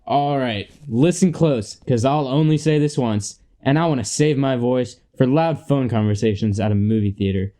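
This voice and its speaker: A young man acting in a comedy radio play as a crude, cocky slob who coaches people in a pompous, deliberate way. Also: mid-range voice, warm tone, natural voice. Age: young adult.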